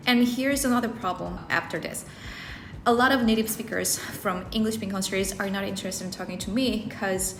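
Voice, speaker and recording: A woman's voice: fairly high pitch, slight background noise. A woman speaking English, giving earnest personal advice to camera and often rephrasing herself mid-sentence. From South Korea. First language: Korean. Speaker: English-learning video creator. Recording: roomy echo, faint background music.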